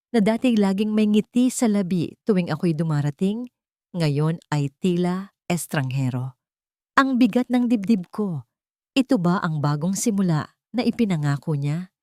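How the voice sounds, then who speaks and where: A woman narrating a dramatic first-person story in an emotive, sorrowful, measured way. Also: mid-range voice, a little mellow, no room sound, clean studio sound.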